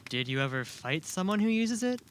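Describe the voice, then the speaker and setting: A man's voice: high-pitched, big pitch swings, a little fast, fairly bright tone. Young fantasy apprentice type , male actor: inquisitive, casual, a bit skeptical, amateur audio drama with some background noise. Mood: curious. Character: Simon, curious young male newcomer to magic, skeptical, eager questioner.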